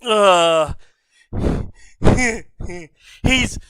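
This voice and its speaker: A man streaming, giving crude, casual reaction commentary, swearing constantly and breaking into loud laughter and shouts. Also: high-pitched, drawn-out words, clean tone, no room sound.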